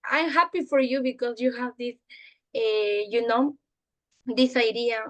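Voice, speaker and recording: Woman in her twenties from Peru, earnest learner English, slightly high voice. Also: low-quality recording. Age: 20s. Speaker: conversation host. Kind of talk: casual practice conversation in English.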